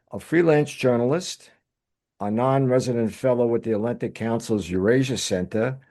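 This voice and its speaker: A man's voice: mid-range voice, warm tone. A man hosting an interview, introducing his guest and asking questions in a formal manner. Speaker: host.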